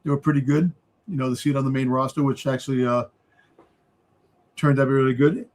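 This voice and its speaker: A man's voice: medium pitch, fairly even intonation, fairly quick, darkish tone. A man on a casual wrestling fan panel, bantering and repeating his phrases for emphasis.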